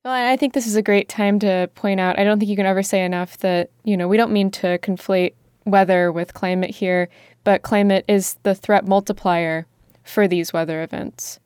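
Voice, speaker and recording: A woman's voice: mid-range voice, fairly even intonation, moderate pace, a little mellow, clear, resonant tone, dry recording, studio quality. A woman making a point articulately and emphatically in a conversational podcast discussion. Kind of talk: conversational current-events discussion.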